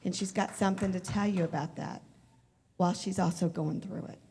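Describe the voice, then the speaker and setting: Casting: woman event host, gravelly voice, enthusiastic stage intro, noisy live room. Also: on the low side, fairly even intonation, a little fast, warm tone. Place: hotel conference room stage. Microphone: handheld microphone.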